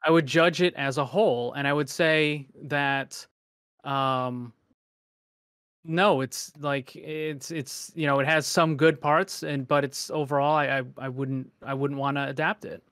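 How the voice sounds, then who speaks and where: A man's voice: a little high, fairly even intonation, unhurried, fairly bright tone, smooth, dry recording, studio quality. A man in a casual debate, speaking hesitantly but insistently and often repeating himself as he makes his point. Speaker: discussion panelist.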